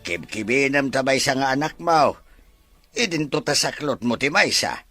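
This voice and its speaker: A man playing an animated, meddlesome father with a rough voice in a family melodrama. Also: medium pitch, normal speed, no room sound, faint music behind. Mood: coaxing. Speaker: actor. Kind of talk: melodrama dialogue.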